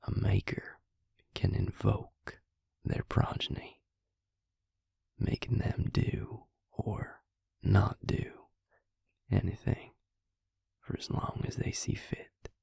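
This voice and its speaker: Male actor as a smug vampire, low breathy whisper, slow taunting delivery, self-satisfied, intimate audio roleplay. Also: little pitch movement, warm tone, no echo, full character voice. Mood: smug, explaining. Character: devious, smug male vampire entrancing a victim.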